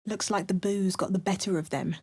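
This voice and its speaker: A woman playing a wry, determined adventurer in a fantasy video game, speaking in a measured, theatrical way. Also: mid-range voice, fairly even intonation, a little mellow, slightly rough, dry recording. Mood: wry, resolute. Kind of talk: video game voice lines, dramatic narration and incantations.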